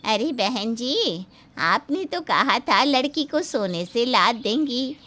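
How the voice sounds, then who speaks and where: A woman acts out a middle-aged mother of the bride in a story drama, pushy and boastful. Her voice is high and bright, with big swings in tone as she insists and persuades. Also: very animated, slow pace, heavily acted. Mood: indignant, demanding. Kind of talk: dramatized Hindi moral story acting.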